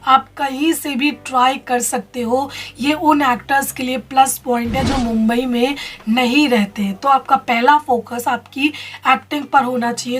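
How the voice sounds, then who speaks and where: A woman's voice: high voice, breathy edge. A woman presenting an advice vlog, explaining things directly to listeners as a casting director and host. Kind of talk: advice vlog talking to camera.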